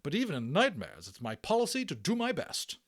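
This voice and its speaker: A man voicing a brisk, indignant nurse matron in a children's storybook, shrill, clipped and no-nonsense. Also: huge pitch swings, fairly bright tone, heavily acted. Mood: brisk, resigned. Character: brisk bossy British nurse matron, indignant older woman.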